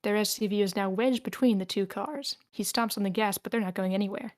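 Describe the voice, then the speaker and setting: A woman's voice: medium pitch, brisk, dry recording, clean studio sound. A woman reading the stage directions of a screenplay as scripted narration at a table read.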